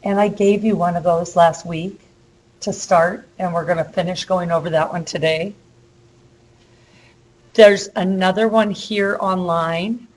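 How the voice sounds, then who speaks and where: Woman teacher losing her voice. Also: lowish voice. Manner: casual, chatty, uses fillers. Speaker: college instructor. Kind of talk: classroom lecture. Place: classroom. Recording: echoey lecture room with faint hum.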